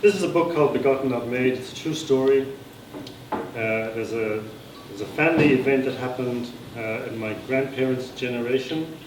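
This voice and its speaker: Male Irish author for live story reading, mid-pitched, recorded at a distance with faint noise. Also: normal speed. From Ireland. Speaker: author reading own book. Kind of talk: live literary reading with informal asides. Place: live reading at a society gathering. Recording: echoey room, distant mic, background noise.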